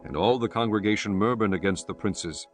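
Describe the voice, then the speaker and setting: A male audiobook narrator reads ancient scripture with formal, measured phrasing. His voice is on the low side and solemn, set over faint background music.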